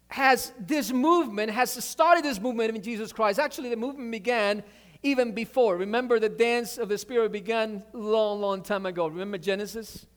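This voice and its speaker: A man whose first language is Spanish, preaching a sermon with energy, often restarting words and asking for an amen. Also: very high voice. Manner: animated preaching, repeats words, asks amen. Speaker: pastor.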